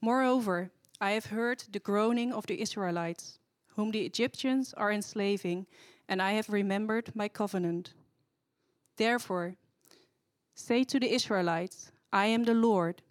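A woman's voice: mid-range voice, dry recording. A woman reading a Bible passage aloud to a church, carefully and steadily, correcting small slips as she goes. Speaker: scripture reader.

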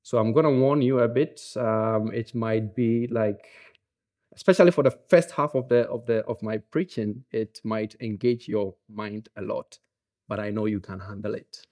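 A man's voice: on the low side, moderate pace. Ghanaian-accented man preaching casually. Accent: Ghanaian English. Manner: relaxed, joking, with frequent fillers. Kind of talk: conversational sermon.

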